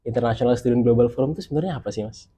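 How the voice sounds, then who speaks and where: A young man hosting a podcast, asking friendly, lively questions. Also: mid-range voice, very fast, slightly rough. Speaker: podcast host. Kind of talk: podcast interview.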